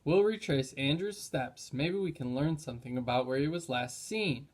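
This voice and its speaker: Man as storybook boy sleuth: earnest, resolute, slightly raised pitch. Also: moderate pace, a little mellow, natural voice. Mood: eager.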